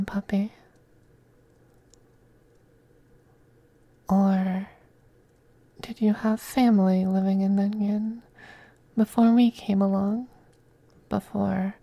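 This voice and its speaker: A silky femboy vampire acted by a man murmurs in a slow, mellow, high and feminine-leaning voice for an ASMR roleplay. He is possessive and teasing, slipping into soft whispers with languid condescension, very close and dry. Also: creaky, dry recording, heavily acted. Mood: condescending, cooing.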